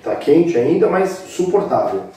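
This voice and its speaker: A man's voice: fairly high pitch, big pitch swings, measured pace, warm tone. A man in his forties with a Brazilian accent, casually explaining a recipe step by step to viewers in an informal, instructive way. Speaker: cooking show host. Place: indoor kitchen set with brick wall. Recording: very echoey room, distant microphone.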